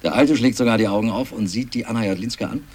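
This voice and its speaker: Man acting male cameraman witness, lowish voice, hesitant, colloquial, repeated phrases, radio play, audible noise. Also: quick pace, a little mellow, natural voice. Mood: chatty recounting. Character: male film company cameraman recounting events, hesitant, chatty. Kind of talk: 1960s German radio drama, acted eyewitness account.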